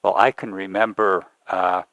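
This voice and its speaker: A man's voice: deep voice, level tone, moderate pace, a bit bright, no echo, dull audio. A man interviewing a church member, hesitant and repeating words as he asks questions.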